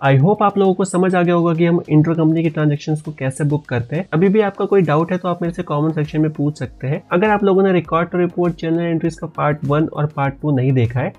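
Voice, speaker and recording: A man's voice: fairly high pitch, a little mellow, muffled recording. A man in his twenties with an Indian accent, giving an instructional explainer as a tutor, often asking questions and answering them himself. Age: 20s. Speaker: accounting tutor and channel host. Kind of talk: explainer lesson to camera with scripted examples. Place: small dim bedroom, indoors. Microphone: lapel mic. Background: background music.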